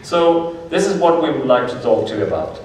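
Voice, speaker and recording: A man's voice: mid-range voice, big pitch swings, a little fast, darkish tone, far from the mic, slight background noise. Man speaking in a big echoey hall. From Brazil. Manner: friendly, conversational, gestures a lot. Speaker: conference speaker. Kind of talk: conference presentation. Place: stage of a large conference hall.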